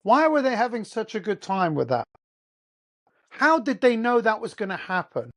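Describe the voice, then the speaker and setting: A man's voice: sits high, sing-song, moderate pace, a bit bright. Heated, blunt English man ranting. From England. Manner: heated, blunt and profane, with rhetorical questions. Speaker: host. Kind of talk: ranting monologue.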